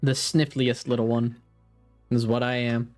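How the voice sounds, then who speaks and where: A man's voice: mid-range voice, level tone, measured pace, a little mellow, soft background music. A man live-streaming a game, chatting casually, swearing now and then, reacting to play and reading chat aloud, a bit sniffly.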